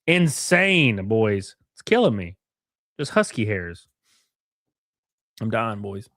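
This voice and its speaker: A man's voice: lowish voice, big pitch swings, slow pace, fairly bright tone, no echo, clean studio sound. A man hosting a casual reaction livestream. He talks in a sarcastic, rambling way, greets chatters by name and sniffles from blocked sinuses. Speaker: livestream host.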